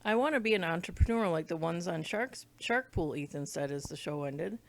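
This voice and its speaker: A woman's voice: fairly low pitch, expressive, fairly quick, creaky. A woman reading a children's book aloud, now and then stumbling over words and rereading them. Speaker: read-along reader.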